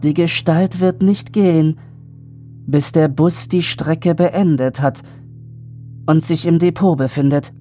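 A woman playing an eerie, self-assured young boy in a horror audio drama, measured and matter-of-fact. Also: sits low, fairly even intonation, warm tone, faint music behind. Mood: grave, instructive.

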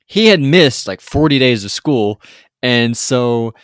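A man's voice: mid-range voice, lively intonation, takes it slowly, no room sound, clean studio sound. A man co-hosting a podcast, chatting casually through an episode recap with lots of hesitant restarts. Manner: rambling, hesitant, many restarts. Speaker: podcast co-host.

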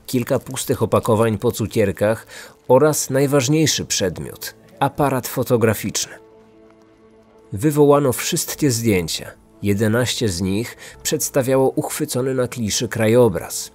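A man's voice: medium pitch, expressive, unhurried, a little mellow. A man narrating a scripted true-crime podcast as its host. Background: faint music.

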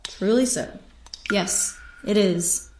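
A woman's voice: medium pitch, takes it slowly, background noise. Echoing, defiant imprisoned woman captain, acted by a woman. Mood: weary, guarded. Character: defiant imprisoned female airship captain, bitter and proud. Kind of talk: dramatic radio drama acting. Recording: roomy, echoing sound.

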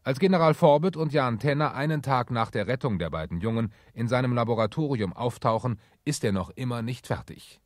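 A man's voice: mid-range voice, lively intonation, fairly quick, hardly any pauses, no room sound, clean studio sound. A man reading scripted narration as the narrator of a children's radio play.

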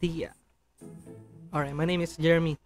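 A man's voice: fairly high pitch, flat delivery, normal speed. A shy, polite man in his twenties from the Philippines, chatting with strangers on a video call between songs. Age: 20s. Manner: shy, polite, repeats thanks. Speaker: singing vlogger on video chat. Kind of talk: casual chat with strangers, singing with guitar. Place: bedroom with pink light, indoors. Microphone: studio mic on stand. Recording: guitar music behind.

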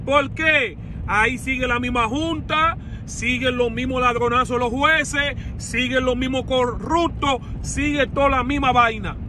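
A man's voice: very high-pitched, unhurried. A man in his thirties with a Dominican accent, delivering a heated political rant to his phone camera from inside a car. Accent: Dominican Spanish. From Dominican Republic. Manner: heated, rhetorical questions, blunt. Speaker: vlogger. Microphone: phone at arm's length. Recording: phone audio with clear background noise.

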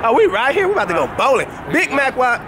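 A man's voice: very high voice, very animated, fast. A young man vlogging casually with friends on a handheld camera, boastful and full of slang. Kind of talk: casual vlog banter with friends. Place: out and about on streets and in a bowling alley. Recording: noisy crowd and street ambience.

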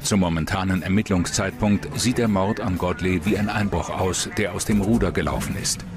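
A man's voice: low-pitched, normal speed, over music. A man narrating a true-crime documentary from a script, as its narrator.

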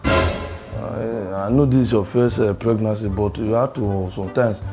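A man's voice: on the low side, steady tone, measured pace, over music. A man with a Nigerian accent playing a long-suffering, placating husband in a comedy skit, hesitant and apologetic. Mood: weary, reasoning. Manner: hesitant, apologetic, repeating himself.

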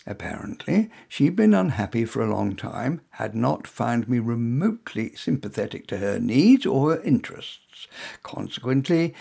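A man's voice: creaky, breathy edge. A man from England reading his own first-person short story in a dry, wry, conversational way. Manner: dry, wry, conversational delivery with lively pitch.

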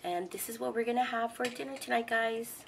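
Woman, middle-aged, home cooking host, step-by-step instructions, relaxed chatty delivery, faint background noise. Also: fairly high pitch, a little fast, creaky. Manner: instructional, walks through each step with fillers. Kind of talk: casual step-by-step cooking narration. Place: home kitchen.

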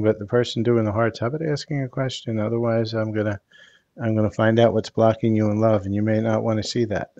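An older man hosting a live card reading over a headset, speaking in a calm, soothing, unhurried way. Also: fairly low pitch, lo-fi sound. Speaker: tarot card reader.

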